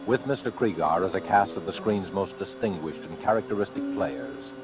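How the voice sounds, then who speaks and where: Old time radio announcer guy, lowish voice, formal and ominous, music clearly underneath. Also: normal speed. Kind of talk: 1940s radio suspense introduction. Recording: vintage 1940s radio recording.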